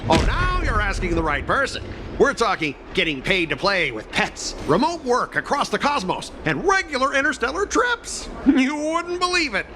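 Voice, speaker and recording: A man's voice: extremely high pitch, big pitch swings, moderate pace, noisy background. A man voicing an arrogant, easily riled corporate specialist in an anime-style game, boastful and theatrical.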